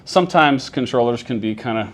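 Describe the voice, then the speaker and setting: A man's voice: medium pitch, animated, a little fast. Plain-spoken man explaining things step by step. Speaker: air traffic controller. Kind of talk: casual walkthrough of equipment. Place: inside an airport control tower.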